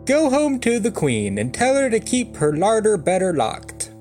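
Man acting old witch woman, storybook character, raised pitch, cryptic, commanding, folksy, music underneath. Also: huge pitch swings, normal speed, a little mellow. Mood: cryptic warning. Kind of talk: storybook fairy-tale character voice.

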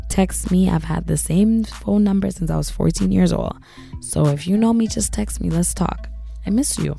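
A young woman in her twenties hosting a solo podcast, husky and raspy from losing her voice, chatting casually and giving advice. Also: on the low side, mellow. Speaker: podcast host.

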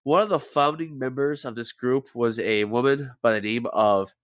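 A man's voice: medium pitch, moderate pace, dry recording. A man with a head cold hosting a casual podcast, rambling through a story and stumbling over names as he swears offhandedly. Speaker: podcast host.

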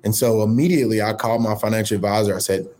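Upbeat, motivational man with a lowish voice. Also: slight background noise. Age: late 30s. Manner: energetic, motivational, quick bursts of emphasis. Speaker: guest, entrepreneur. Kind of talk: conversational interview storytelling.

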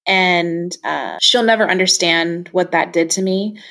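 A woman's voice: lowish voice, measured pace, vocal fry, fairly bright tone. A young woman telling personal stories as an interview guest in a casual, candid and reflective way.

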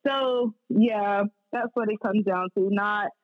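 A woman's voice: medium pitch, slow pace, no echo, lo-fi sound. A woman speaking candidly and slangily as a guest sports reporter in a casual podcast conversation.

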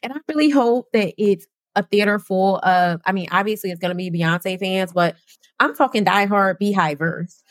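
A woman's voice: medium pitch, normal speed, no room sound. A young adult woman co-hosting a podcast, chatting casually in slang and laughing often. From New Jersey. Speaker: podcast co-host.

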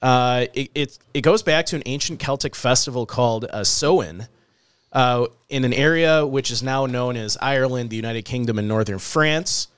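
Man, podcast co-host, a bit stuffy-nosed, smooth clear voice, dry close sound, loose and chatty. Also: mid-range voice, normal speed, dry recording. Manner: relaxed, chatty, frequent "uh" and "um". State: slightly nasal from allergies. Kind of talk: casual podcast chat.

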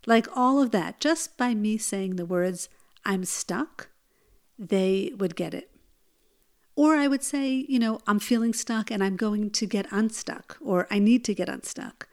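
A woman hosting her own podcast, speaking in a gentle, earnest way and telling personal stories. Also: medium pitch, measured pace. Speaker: podcast host. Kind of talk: solo podcast talk.

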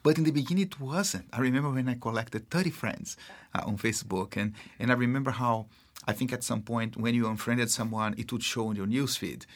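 A man from Brazil with a Brazilian accent, a marketing executive speaking as an interview guest in a halting way, full of fillers and restarts. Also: medium pitch. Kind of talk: casual interview talk.

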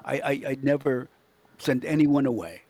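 A man's voice: medium pitch, animated, moderate pace, dark tone. An older man who performs as Santa, chatting casually and earnestly in a rambling way, often repeating his words. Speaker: professional Santa Claus performer, interview guest. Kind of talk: casual anecdotal chat.

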